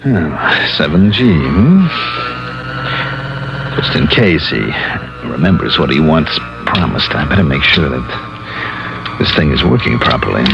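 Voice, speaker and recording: Hard-boiled American investigator, deep, very animated, brusque, wry, old radio drama, muffled with music behind. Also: male voice, a little slow, vocal fry, pauses often, heavily acted. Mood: wary, muttering. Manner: brusque, wisecracking. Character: tough, wry male insurance investigator. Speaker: lead actor and first-person narrator. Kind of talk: 1950s radio detective acting.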